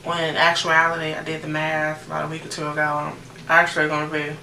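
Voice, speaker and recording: An American woman in her late thirties talks casually through a makeup routine. Her voice is low and gravelly at the edges. It is picked up at a distance in an echoey room. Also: completely flat delivery, quick pace, pushed and bright. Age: late 30s. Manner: chatty, informal, addressing viewers directly. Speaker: beauty vlogger. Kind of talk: casual chatty makeup tutorial. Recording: roomy, distant mic with noticeable background noise.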